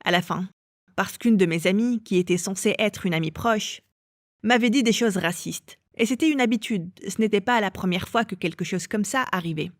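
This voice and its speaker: Calm woman recounting her story, dry sound. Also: mid-range voice, normal speed, no room sound. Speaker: interviewee. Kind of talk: personal testimony.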